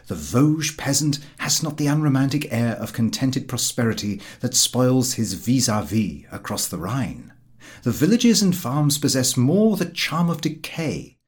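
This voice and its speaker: Very animated male audiobook narrator. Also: medium pitch. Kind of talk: scripted literary narration of a comic travelogue.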